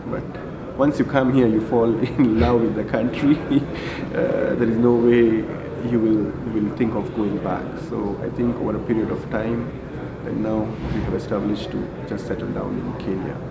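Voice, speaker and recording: A middle-aged man from India, a hotel group general manager, answering interview questions in an unhurried way with many fillers. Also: lowish voice, level tone, vocal fry, dull audio, very noisy. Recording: echoey room with loud ambience.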